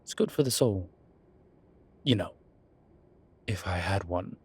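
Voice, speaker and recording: A man playing a suave, wry incubus demon professor in an intimate audio roleplay, with a husky voice and hesitant pauses. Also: low-pitched, expressive, exaggerated voice. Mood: wry, defensive.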